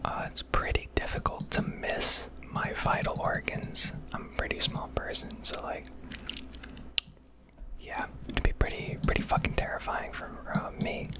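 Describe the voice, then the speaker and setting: An American woman rambling candidly and casually in a breathy ASMR whisper, swearing now and then, recorded on a close microphone. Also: measured pace. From United States. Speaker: ASMR video creator.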